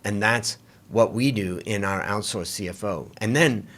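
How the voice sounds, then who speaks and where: A man's voice: on the low side, moderate pace, a bit bright. A man hosting an interview, asking curious, conversational questions. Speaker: podcast host.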